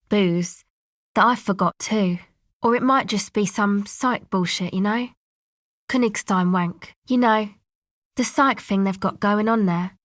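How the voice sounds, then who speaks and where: A woman's voice: medium pitch, dry recording, clean studio sound, natural voice. A woman voicing a laid-back, streetwise young woman in a video game, with a casual, slangy, offhand delivery that is blunt but sympathetic. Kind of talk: naturalistic video game dialogue.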